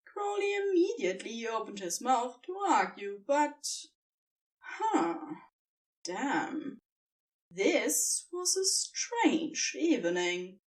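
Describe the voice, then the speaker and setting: Female audiobook narrator for fiction, very slow and expressive, dramatic emphatic pauses, slightly distant from mic. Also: on the high side.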